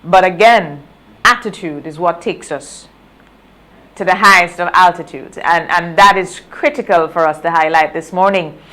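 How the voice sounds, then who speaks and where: A Grenadian woman hosting a morning interview in a conversational, deliberate way, repeating phrases for emphasis. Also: on the low side, sharp tone. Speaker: morning show host.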